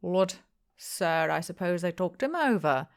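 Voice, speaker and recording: A woman voicing a blustering, jovial, opinionated male innkeeper in an audiobook, with loud, emphatic, rustic speech. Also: on the low side, expressive, a little slow.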